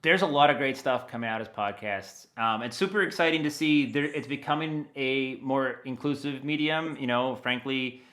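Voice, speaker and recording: Bright-voiced American man, chatting enthusiastically. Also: medium pitch, moderate pace, few pauses. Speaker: interview guest, audio dramatist. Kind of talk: casual enthusiastic conversation.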